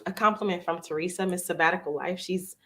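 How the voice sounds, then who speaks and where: A woman hosts a live podcast in a slightly low voice. Her delivery is casual and playful, full of slang. She keeps things informal as she talks with her guest. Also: quick pace, bright, forceful tone. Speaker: host.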